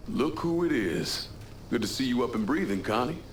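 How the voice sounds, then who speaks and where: An actor playing a tough, terse American criminal leader in a video game cutscene, blunt and low-key. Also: male voice, lively intonation, moderate pace, close to an everyday voice. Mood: cool, wry. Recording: clear background noise.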